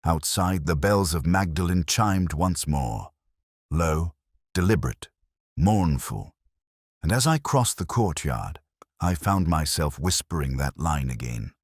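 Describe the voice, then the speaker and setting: Very deep-voiced brooding detective played by a man. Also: lively intonation, no room sound, clean studio sound, full character voice. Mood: brooding, reflective. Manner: measured, literary, melancholy. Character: brooding, erudite male police detective narrating his case. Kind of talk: scripted first-person mystery narration.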